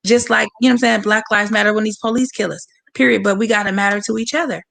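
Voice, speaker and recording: A woman from San Francisco with a hoarse voice, a boxer speaking candidly and passionately as a guest on a livestreamed interview over a video call. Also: mid-range voice, steady tone, fast, fairly bright tone. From San Francisco, USA. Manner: candid, passionate, talks straight to viewers.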